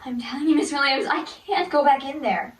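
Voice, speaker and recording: A woman playing a bullied teenage girl pleading with her counselor in a hushed, breathy voice. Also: high voice, fairly quick, close to an everyday voice. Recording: roomy, from far off.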